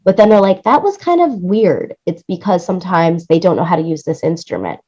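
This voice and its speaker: Casting: female interview guest, lower register, conversational and demonstrative, slightly dull low-fidelity sound. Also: a little fast. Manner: animated explaining, acts out quoted lines, seeks agreement with "right?". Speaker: interview guest, music supervisor and audio producer.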